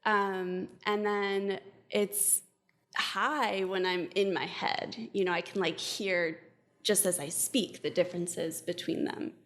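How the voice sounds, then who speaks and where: A woman's voice: medium pitch, fairly even intonation, takes it slowly, fairly bright tone. A woman life coach talking as a podcast guest, upbeat and reflective, with frequent fillers. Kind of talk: casual podcast conversation.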